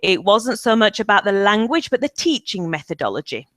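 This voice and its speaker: A woman's voice: medium pitch, sing-song, fairly quick, a bit bright, dry recording, clean studio sound. A woman from the UK, an English trainer, answering interview questions in a webinar with many ums and restarts. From United Kingdom.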